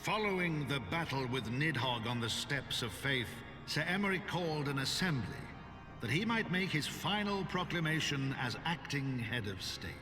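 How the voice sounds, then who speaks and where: A man's voice: fairly high pitch, animated, moderate pace, close to an everyday voice. A solemn storybook chronicler, voiced by a man, narrates a fantasy game with formal, stately phrasing. His voice rings in a long reverberant hall, with music behind.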